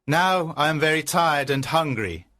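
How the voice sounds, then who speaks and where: A man's voice: fairly high pitch, expressive, unhurried, pushed and bright, pitched above the performer's own voice. A man voicing a tired crusader knight back from war, formal and courteous in a storybook reading.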